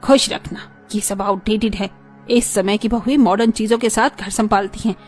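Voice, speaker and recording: A woman's voice: mid-range voice, fairly even intonation, moderate pace, darkish tone, soft background music, lightly acted. A woman playing an irritable, snobbish mother-in-law. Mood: irritated. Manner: irritable, dismissive. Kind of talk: cartoon voice acting.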